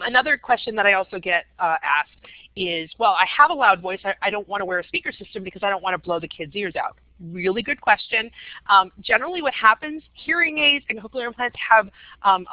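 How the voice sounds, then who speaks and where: A woman's voice: on the low side, no room sound. Woman presenter with many fillers, dry dull audio. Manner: conversational, frequent "um" fillers. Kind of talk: webinar presentation.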